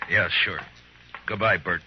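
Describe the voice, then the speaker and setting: A man's voice: sits low, steady tone, a little slow, bright, forceful tone, slight background noise, exaggerated voice. An American actor playing a stern, weary frontier lawman in a terse, commanding manner, in 1950s radio drama style. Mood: grim. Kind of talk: 1950s radio western acting. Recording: vintage 1950s radio recording.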